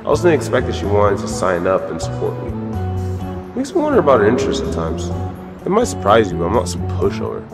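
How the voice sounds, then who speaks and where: Brooding young vigilante hero, played by a man, low voice, animated delivery, self-serious and exasperated, music behind. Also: quick pace. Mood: reflective monologue. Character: brooding, earnest young male vigilante superhero. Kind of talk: audio drama acting with inner monologue. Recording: music bed, some lines in reverb.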